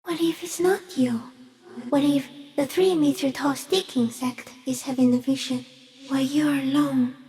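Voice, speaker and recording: A woman voicing a giant stick insect in a video game, gentle, solemn and cryptic, speaking in slow, measured phrases. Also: high voice, steady tone. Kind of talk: video game character acting.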